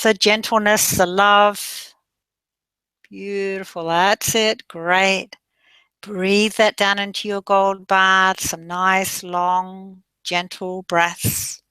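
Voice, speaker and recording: A woman leading a guided meditation in a slow, soothing voice that drifts into soft breathy passages. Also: medium pitch, no room sound, poor audio.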